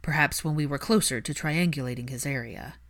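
A woman's voice: low voice, a little fast. A woman voicing a composed young aristocratic man in an audiobook story, with a dry, understated, guarded manner. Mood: earnest.

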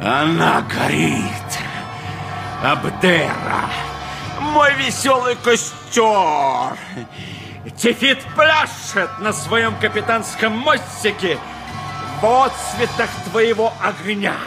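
Wild pirate captain out for revenge, slow chanting radio play delivery, loud music behind. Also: male voice, sits high, big pitch swings, exaggerated voice. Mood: vengeful exultation. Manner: theatrical, incantatory, exultant. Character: wild vengeful pirate captain setting a city ablaze. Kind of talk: Soviet radio drama acting.

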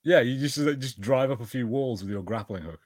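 A man's voice: medium pitch, a little fast, darkish tone. A man chatting and explaining things casually as a co-host on a movie watch-party podcast.

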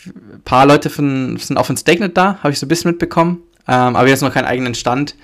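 A German man hosts a podcast by himself, and his voice is thick and stuffy from a cold. He talks loosely and rambles, filling pauses and correcting himself as he goes. His pitch sits in an ordinary middle range. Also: a bit bright. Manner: loose and rambling, many fillers and self-corrections. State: has a cold, stuffy nose. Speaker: podcast host. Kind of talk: casual solo podcast talk.

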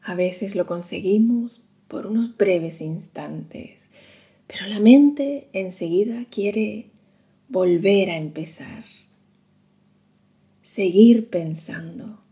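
A woman guiding a meditation in a soothing, hushed manner, leaving long pauses between short phrases. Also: mid-range voice, expressive. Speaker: meditation guide. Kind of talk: slow guided meditation narration.

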